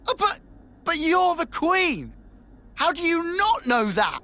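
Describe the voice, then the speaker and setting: A man's voice: high voice, very animated, a little slow, pushed and bright, heavily acted. An actor with a British accent playing a brash, blunt young male fighter in a video game, slangy and exclamatory. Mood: incredulous.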